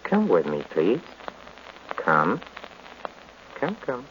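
An actor playing a genial, courteous, chatty host of an old-time radio mystery show. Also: male voice, fairly low pitch, huge pitch swings, very slow, heavily acted. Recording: dull, noisy vintage radio recording.

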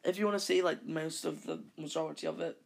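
This is a man with a croaky voice, gravelly and a little husky, talking on his own podcast. He drifts from point to point in a long casual monologue, backtracking and fixing what he just said. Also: on the high side, steady tone, quick pace. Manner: rambling, with frequent self-corrections. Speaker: podcast host.

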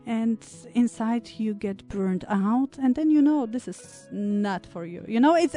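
A woman in her thirties from Poland, an English speaker, talking reflectively as an interview guest, with many pauses and fillers. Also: a little high, dark tone. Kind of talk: conversational interview.

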